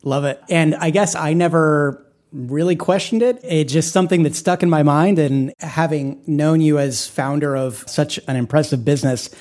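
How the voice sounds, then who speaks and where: A man's voice: on the high side, normal speed, darkish tone. A man hosting a podcast about independent work, interviewing a guest in a friendly, admiring way. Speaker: podcast host.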